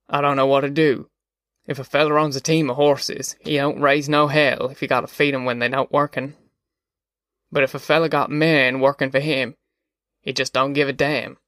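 A man's voice: medium pitch, a bit bright, no room sound, studio quality, lightly acted. A man voicing a despairing migrant laborer and worried father, bitter and folksy, in an audiobook.

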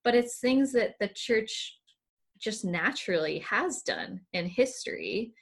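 A woman's voice: mid-range voice, animated, slow, creaky, slightly rough. A woman hosting a casual interview over a video call, chatting conversationally with her guest. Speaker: interviewer, church project associate director.

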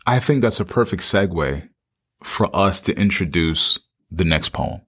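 A man's voice: deep voice, animated, measured pace, fairly bright tone, husky. A man co-hosting a conversational discussion, speaking colloquially with short interjections and repeated phrases. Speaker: co-host.

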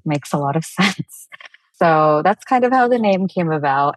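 A woman with Telugu as her mother tongue answers as a guest in a casual interview. Her voice sits low, and the sound is dry with very little room around it. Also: no room sound, rough recording. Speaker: guest, fashion brand founder.

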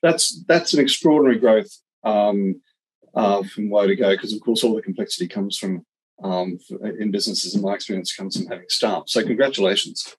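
A man's voice: lowish voice, a little fast, slightly rough, poor audio. A man hosting a business podcast, asking questions hesitantly with many ums. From Australia. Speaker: podcast host. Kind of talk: interview questions.

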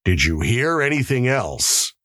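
A man's voice: mid-range voice, sing-song, unhurried, pushed and bright, no echo, studio quality, voice pushed up, heavily acted. A man voicing a stern but puzzled prison warden in an audiobook, asking curt, probing questions. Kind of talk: audiobook character acting.

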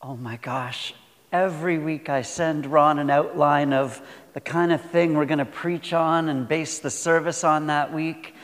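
A man's voice: on the high side, fairly even intonation, a little slow. A male preacher talking informally and effusively to his congregation, recorded in a reverberant church. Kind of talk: informal sermon, conversational talk to congregation. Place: large indoor church space.

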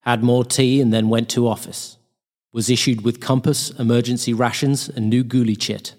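A man reads short, clipped diary entries aloud. His pitch is middling for a male voice, and the delivery is that of a scripted reading. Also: little pitch movement, moderate pace, a little mellow. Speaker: diary reader.

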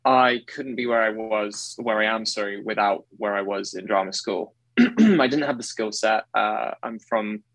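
Earnest baritone man, dry close sound. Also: unhurried, a bit bright, dry recording, rough recording. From England. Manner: earnest, self-correcting, effusive. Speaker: guest, actor and singer. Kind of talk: conversational interview.

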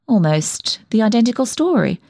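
A woman's voice: fairly high pitch, sing-song, normal speed, warm tone, no echo, studio quality. A woman performing a comic monologue as a nosy, tactless village dressmaker who chats on with prying, backhanded remarks. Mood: prying, insinuating. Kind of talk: dramatic monologue reading, comic character storytelling.

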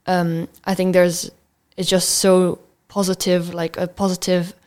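A woman's voice: fairly low pitch, level tone, measured pace, a little mellow. A fifteen-year-old girl from Belgium, answering questions as a student guest in an interview.

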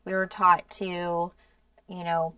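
A woman explains things in an earnest, unhurried way, filling pauses and letting phrases trail off softly. Her voice sits on the lower side. The recording is dry and she sounds a little distant from the mic. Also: little pitch movement, no echo. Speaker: podcast co-host. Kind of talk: casual explanatory talk.

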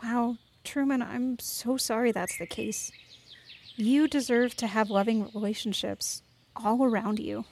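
A woman's voice: on the high side, fairly even intonation, a little slow, darkish tone, a little hiss or hum. A woman playing an earnest, fierce but contrite young woman in a naturalistic podcast drama, speaking sincerely and carefully. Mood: remorseful. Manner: sincere, careful, emotionally open. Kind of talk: naturalistic fiction podcast acting.

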